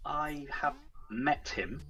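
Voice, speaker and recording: A man's voice: on the high side, slow pace, pushed and bright, breathy edge, low-quality recording. A man chatting casually as an interview guest, in a modest, tentative way. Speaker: guest, indie game developer. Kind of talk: casual chat.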